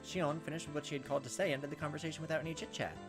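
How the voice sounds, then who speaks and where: Fast-talking, lively man over game music. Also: fairly high pitch. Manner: casual, profane asides, reacts to the text as he reads. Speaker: gaming video host, let's player. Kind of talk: reading a visual novel aloud with casual commentary.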